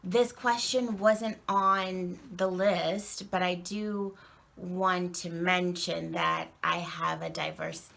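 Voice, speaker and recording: A woman with a naturally soft voice talks slowly and earnestly about her coaching work, pausing on frequent ums. Her pitch sits on the low side and her voice drags into a very strong gravelly fry. It sounds like a recorded statement. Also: steady tone. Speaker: acting and presentation coach.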